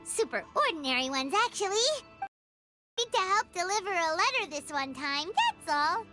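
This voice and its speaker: A woman's voice: very high-pitched, very animated, moderate pace, over music. A woman voicing a small, chatty, cheeky fairy companion in a video game. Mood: musing. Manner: speaks of self in third person, cheeky.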